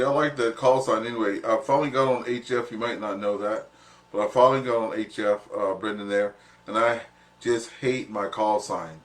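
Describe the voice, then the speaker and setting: Jovial man hosting a radio net. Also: medium pitch. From southern United States. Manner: jovial, chatty, self-deprecating. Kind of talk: casual amateur radio net chat.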